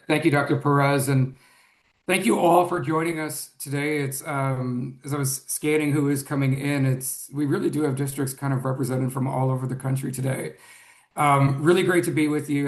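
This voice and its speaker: A man presenting an education webinar, a little hoarse, earnest, with frequent ums and restarts, speaking over a video call. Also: mid-range voice, roomy sound.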